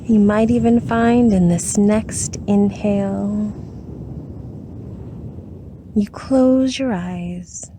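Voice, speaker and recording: Very slow woman guiding a meditation. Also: mid-range voice, mellow, a little hiss or hum. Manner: soothing, unhurried, with long pauses. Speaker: meditation guide. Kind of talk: guided meditation.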